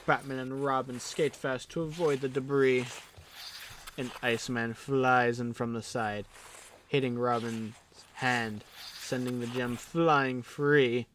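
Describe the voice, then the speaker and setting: A man's voice: mid-range voice, fairly even intonation, takes it slowly, fairly bright tone, slight background noise. A man narrating scripted superhero action dramatically as the narrator of an audio drama. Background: music.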